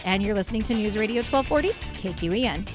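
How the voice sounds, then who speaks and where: A woman hosting a radio talk show, her voice hoarse and raspy, chatting along and often checking in with a quick "right?". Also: medium pitch, expressive, over music. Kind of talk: casual radio talk chat. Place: radio station studio.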